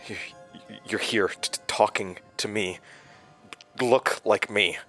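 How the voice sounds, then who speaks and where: A man playing a haunted, frightened protagonist, narrating a horror audio drama in a halting, uneasy, stammering way. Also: fairly low pitch, steady tone, a bit bright, soft background music. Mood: frightened, disbelieving.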